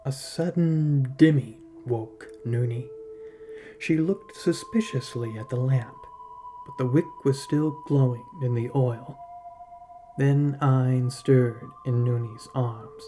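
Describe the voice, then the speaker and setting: A man narrating literary fiction as a podcast host, in a measured, dramatic reading manner. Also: medium pitch, steady tone, mellow, soft background music.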